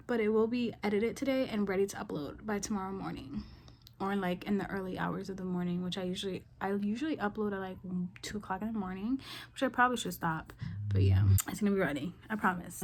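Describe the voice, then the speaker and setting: A young woman in her twenties from New York, chatting casually and rambling through a reading vlog at home, sniffly and congested, recorded on her phone. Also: medium pitch. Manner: chatty, slangy, rambling asides. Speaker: book vlogger. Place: at home, indoors. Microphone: phone camera.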